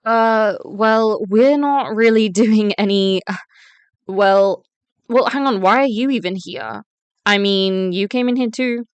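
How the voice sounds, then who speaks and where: A wary, defiant student girl, acted by a woman, tells and acts out her story in a web drama. Her voice is smooth and clear at a medium pitch, recorded dry and close. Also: slow, no room sound. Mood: defensive, accusing. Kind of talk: first-person story narration and voice-acted dialogue.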